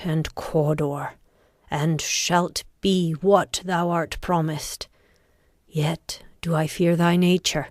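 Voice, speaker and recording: A woman playing an ambitious, commanding noblewoman who schemes, in a dramatic Shakespearean reading with deliberate, intense delivery. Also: low-pitched, no room sound, clean studio sound. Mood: scheming.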